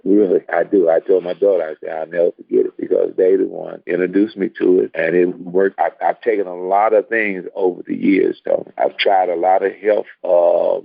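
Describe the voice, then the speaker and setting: Gravelly older man on a tinny phone line. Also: deep voice, level tone, normal speed, hardly any pauses, no room sound. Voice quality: gravelly, husky. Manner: earnest, repeats words for emphasis. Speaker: guest giving a personal testimony. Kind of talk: casual spoken testimony. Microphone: telephone.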